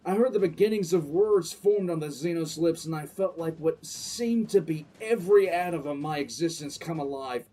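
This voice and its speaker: A man playing a contemptuous military commissar who tells his own story in grim, deliberate dramatic narration. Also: fairly high pitch, mellow, natural voice. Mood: building intensity. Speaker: narrator and lead character. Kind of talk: first-person dramatic audio drama narration.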